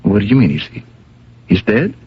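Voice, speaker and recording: Flustered stage manager, very raspy, swooping intonation, nervous stammer, eager to please, muffled old radio drama. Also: male voice, fairly low pitch, very animated, moderate pace, heavily acted. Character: flustered, anxious, deferential male theater stage manager.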